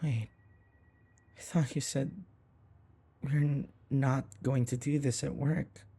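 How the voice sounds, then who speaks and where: Man acting a submissive young servant, audio roleplay, slow and halting, husky breathy voice, nervous, devoted, deferential. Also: medium pitch, fairly even intonation, mellow, full character voice. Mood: anxious, devoted. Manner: hesitant, stammering, deferential. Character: nervous, devoted, submissive young human manservant to a vampire mistress.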